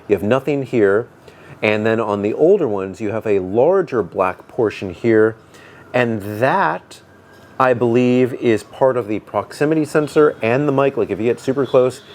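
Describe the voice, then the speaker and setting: A man with a booming voice giving a casual product review, thinking aloud with fillers and restarts. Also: darkish tone. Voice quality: booming deep voice. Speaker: tech reviewer. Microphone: dedicated microphone.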